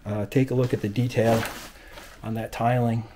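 A man's voice: mid-range voice, level tone, normal speed, warm tone, slight background noise. A man giving a casual, understated, matter-of-fact walk-through as an exploring vlogger. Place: indoors, inside an abandoned old house.

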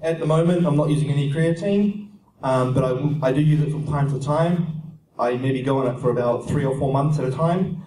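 A young New Zealand man talks through audience questions at a seminar, explaining in a steady, plain way with frequent "um"s. His voice sits in a middling range. It is picked up from across a roomy, echoing conference hall, with faint noise behind it. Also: medium pitch, brisk, distant mic. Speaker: fitness speaker answering audience questions. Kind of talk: casual Q&A seminar talk. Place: large conference room at an expo. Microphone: handheld mic, heard from a distant camera. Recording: echoey room heard from afar.

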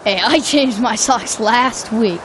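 A woman's voice: a little high, takes it slowly, a little hiss or hum. A woman voicing a laid-back, slangy kid detective in a cartoon game. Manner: slangy, casual.

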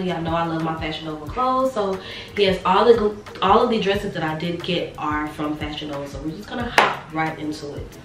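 A young woman in her twenties chatting casually through a clothing try-on, her voice a little raspy from a sore throat, repeating words for emphasis. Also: mid-range voice, a little hiss or hum. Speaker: fashion vlogger. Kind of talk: casual try-on haul chat. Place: small bright bedroom. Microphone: camera mic a few steps away. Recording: roomy sound from a distant camera.

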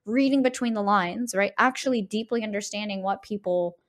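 A woman's voice: mid-range voice, fairly quick. Analytical woman podcast co-host, conversational. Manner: analytical, often seeks agreement with "right?". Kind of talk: conversational game discussion.

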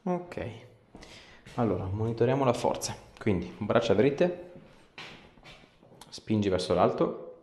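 A man, a chiropractor giving a patient short, gentle, repeated instructions. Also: lowish voice, big pitch swings, slow, pauses often, a little hiss or hum. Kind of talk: instructing a patient during treatment. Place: small indoor treatment room. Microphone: camera a few steps away. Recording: roomy echo, camera across small room.

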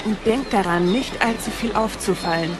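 A woman playing a lively young adventurer in a fantasy audio drama. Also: medium pitch, moderate pace, loud music behind the voice, close to an everyday voice. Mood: cautioning.

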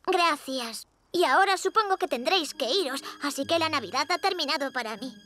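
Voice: high-pitched, lively intonation, brisk, sharp tone. A performer playing a bratty, lonely, theatrical girl villain with a whiny manner in a dubbed children's cartoon. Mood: glum.

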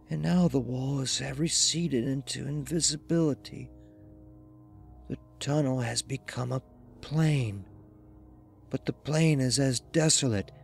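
A man voicing a dreary, despairing dead man who speaks slowly and haltingly in a horror audio story. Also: medium pitch, creaky, darkish tone, voice pushed up. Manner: slow, halting, hopeless delivery. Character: dead man entranced, dreary, despairing, hearing terror approach.

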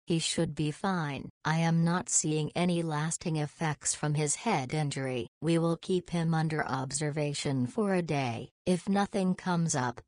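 Actress as young female doctor, deep female voice, slow delivery, formal, reserved, polite, visual novel game. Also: a little mellow, no echo, studio quality. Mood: clinical, reassuring. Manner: formal, precise, measured.

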